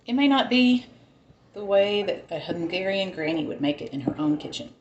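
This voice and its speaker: A woman's voice: mid-range voice, expressive, normal speed, reverberant, dull audio, slight background noise. A woman with a Southern American accent, chatting casually and thinking aloud as she cooks in her home kitchen. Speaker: home cook. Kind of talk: casual cooking vlog chat.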